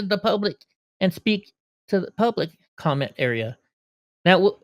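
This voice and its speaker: A man with a very lively, jumpy voice that climbs and drops as he gets worked up. He swears freely and presses his complaint without letting go. The sound is close and dry, with no room around him. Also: high voice, no echo. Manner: heated, profane, persistent. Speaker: video creator reacting to a call. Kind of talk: angry voicemail and commentary.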